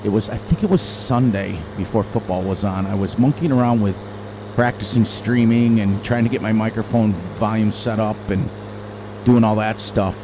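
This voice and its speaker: A middle-aged man with a loud voice, rambling and thinking aloud as he comments casually on his gameplay into a desk microphone. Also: on the low side, moderate pace. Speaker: gaming channel host. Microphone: desk microphone turned down low. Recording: game engine noise behind.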